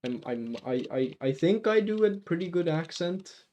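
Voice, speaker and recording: Finnish man, mellow rambling streamer. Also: on the high side, expressive, normal speed. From Finland. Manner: rambling, lots of restarts and fillers. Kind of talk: casual live-stream chat while gaming.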